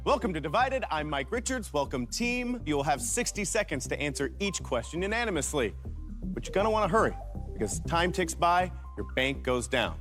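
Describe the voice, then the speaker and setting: A man hosting a game show, reading rules and questions in an energetic announcer style. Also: on the high side, normal speed. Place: TV studio. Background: background music.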